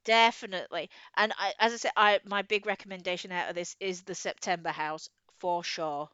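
Female co-host, effusive informal book chat, mid-pitched, dry but slightly muffled recording. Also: steady tone, fairly quick, fairly bright tone, smooth, no room sound. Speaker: podcast co-host.

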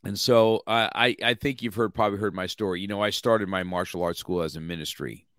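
A man's voice: fairly low pitch, fairly quick, a bit bright, smooth, dry recording, studio quality. A man hosting an interview, talking in a rambling way with many restarts and chuckles. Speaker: podcast host. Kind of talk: casual interview chat.

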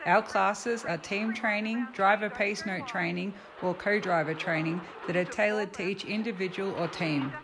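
Woman, ad read, scripted, clear, noise behind. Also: mid-range voice, steady tone, moderate pace, hardly any pauses. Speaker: ad announcer. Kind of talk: read advertisement.

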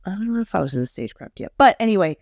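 A woman's voice: mid-range voice, big pitch swings, racing, darkish tone, dry recording, studio quality. A woman co-hosting a podcast, telling chatty anecdotes in a casual way. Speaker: podcast co-host. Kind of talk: casual chat.

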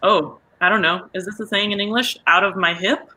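Woman from germany, bright clear-cut voice, voice actor chatting casually on a panel, animated and a bit halting. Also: mid-range voice. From Munich, Germany. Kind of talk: casual interview chat.

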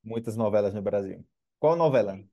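A man from Brazil hosting a casual podcast interview, talking in an upbeat, slangy and energetic way. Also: fairly low pitch, brisk, muffled recording. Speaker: podcast host.

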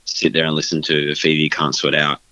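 A man's voice: rumbling low, monotone, a little fast, pushed and bright, dry recording, muffled recording. A young man talking casually in an interview, loose and rambling with lots of fillers. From Australia. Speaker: guest band vocalist.

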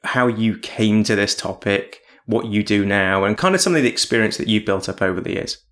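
Man, host, lowish voice, easy laughter, chatty questioning. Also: brisk, fairly bright tone. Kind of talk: podcast interview questions.

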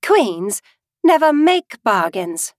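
A woman's voice: a little high, big pitch swings, slow, dry recording, studio quality, pitched above the performer's own voice. A woman voicing a stern, haughty and stiff queen in a storybook reading. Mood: haughty, challenging.

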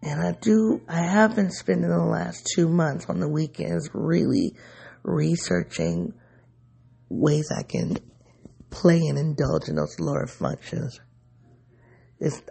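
A raspy, sleepy man speaks in a rambling, self-searching vlog monologue. His voice is deeply gravelly and husky, with a dark, mellow tone. His pitch swings widely as he muses. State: sleepy, voice going. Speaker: vlogger. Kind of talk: personal reflective monologue.

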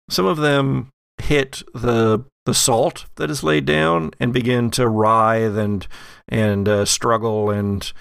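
A man narrating a horror tabletop game as game master, in a deliberate, descriptive, suspenseful storytelling way. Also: mid-range voice, vocal fry, no echo, studio quality.

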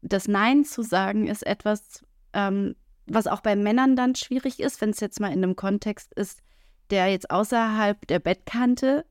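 A woman co-hosts a relaxed talk podcast, speaking in a frank, earthy way. She drops frequent filler sounds and now and then acts out quoted lines. The recording is dry and close, with no room echo. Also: medium pitch, unhurried, clean tone. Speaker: podcast co-host. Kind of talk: casual podcast conversation.

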